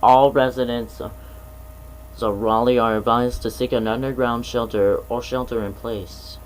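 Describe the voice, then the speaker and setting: A man's voice: medium pitch, steady tone, a little slow, a little hiss or hum, natural voice, poor audio. A man playing an official emergency alert announcer, reading scripted warnings in a formal, serious, instructional way. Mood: serious, urgent.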